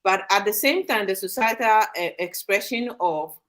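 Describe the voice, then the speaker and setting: A woman hosting a live online talk show, speaking in a measured way with frequent pauses and fillers. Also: mid-range voice. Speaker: host. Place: studio or home in Sydney, Australia.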